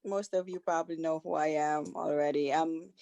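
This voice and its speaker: A woman's voice: low-pitched, steady tone, normal speed, gravelly, warm tone. A woman who works as a nanny, introducing herself in a friendly, chatty way on a video call.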